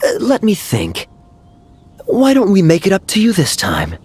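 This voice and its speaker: A sly teasing knight. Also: male voice, sits high, wildly swooping pitch, unhurried, a little mellow, full character voice. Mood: wry. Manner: smooth, teasing, chuckles often. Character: suave teasing male knight, adult, sly and relaxed. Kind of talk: game cutscene voice acting.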